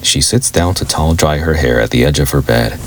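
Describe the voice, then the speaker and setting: A man narrating a horror radio play in a measured, scene-setting storyteller's manner. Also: a bass voice, slight background noise. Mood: ominous, measured. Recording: loud music bed with rain. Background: loud music, rain sounds.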